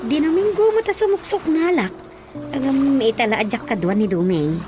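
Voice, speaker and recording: Gossipy Ilocano wife, outspoken, high swooping voice, comic radio drama, music behind. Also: female voice, animated, normal speed. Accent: Filipino, Ilocano.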